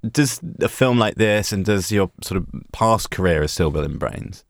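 A man interviewing a guest on a radio show, asking questions in a relaxed, conversational way. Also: low-pitched, normal speed, no echo, clean studio sound.

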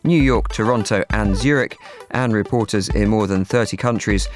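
A man reading a scripted station promo in a smooth, even way. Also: fairly low pitch, moderate pace. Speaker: station announcer. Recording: voice over faint music.

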